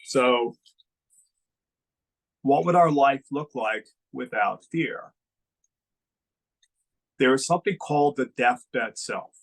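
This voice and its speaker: A man giving an unscripted spiritual talk as a teacher, slow and reflective, often putting questions to his listeners. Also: medium pitch, poor audio.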